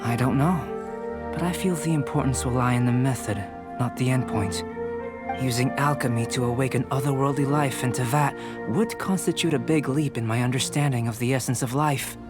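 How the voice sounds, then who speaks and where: An actor playing a calm, curious young male alchemist who talks in a measured, formal, clinical way, in a game. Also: medium pitch, lightly acted. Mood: inquisitive. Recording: game audio with clear music behind.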